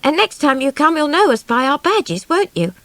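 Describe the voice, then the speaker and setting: Woman acting a slangy young child, bold, eager, high voice, swooping intonation, audiobook. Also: big pitch swings, moderate pace, a bit bright, dry recording. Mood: earnest. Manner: childish slang, blunt and earnest.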